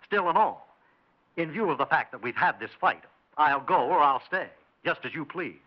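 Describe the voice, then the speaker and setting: Man playing a smug, animated mysterious houseguest, old radio. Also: a little high, close to an everyday voice. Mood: challenging. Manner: teasing, mock-courteous, unhurried needling. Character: smug, evasive, mysterious male houseguest, needling his hosts. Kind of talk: 1960s radio drama acting. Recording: dull lo-fi 1960s radio recording.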